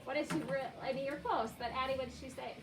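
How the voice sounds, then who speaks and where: Echoey, higher-voiced woman teaching. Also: fairly even intonation, fast. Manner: questioning, prompting, affirming children's answers. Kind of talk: interactive read-aloud lesson with children. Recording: echoey room, distant mic, clear background noise.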